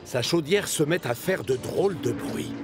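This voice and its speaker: Expressive male storyteller, lively intonation. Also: on the high side, normal speed, loud music behind the voice. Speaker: narrator. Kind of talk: animated children's story narration.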